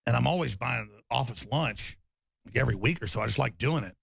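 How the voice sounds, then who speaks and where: A man hosting a talk radio show, raspy and torn-up in tone, rambling emphatically with loud bursts and low mutters. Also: lively intonation, quick pace, no echo, clean studio sound. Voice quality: raspy, gravelly voice. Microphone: studio microphone.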